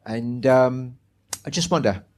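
A man moderating a book event, speaking conversationally with halting, ruminative phrasing. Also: medium pitch, darkish tone.

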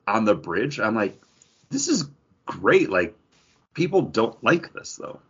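Male podcast co-host, deep and rough-edged, opinionated casual chat, slightly dull lo-fi recording. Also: sing-song, slow pace. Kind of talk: casual chat about comic book movies.

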